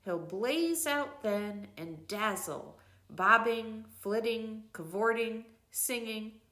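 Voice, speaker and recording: Slow-paced older woman reading aloud. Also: a little high, expressive, bright, forceful tone, reverberant. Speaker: reader of a nature devotional book. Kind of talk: scripted narration, literary read-aloud.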